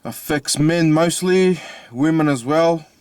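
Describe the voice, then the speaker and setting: An Australian man with a slightly mixed accent from his Italian family, talking casually from inside his car with many pauses and restarts. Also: on the high side, a little mellow, smooth, no echo. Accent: Australian with Italian-family influence. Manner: halting, many fillers and restarts. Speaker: vlogger. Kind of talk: casual personal vlog.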